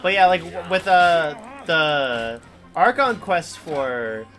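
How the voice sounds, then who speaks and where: A man's voice: fairly high pitch, lively intonation, slow, pushed and bright. A young man streaming a video game, rambling casually with lots of fillers and "like". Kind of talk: casual live game commentary. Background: game music.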